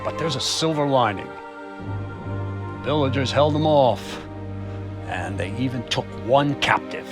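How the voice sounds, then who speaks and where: An actor playing a dutiful, businesslike medieval guard captain giving a report in a game cutscene. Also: male voice, mid-range voice, a little slow, long pauses, over music, natural voice. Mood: matter-of-fact. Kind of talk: dramatic video game cutscene acting.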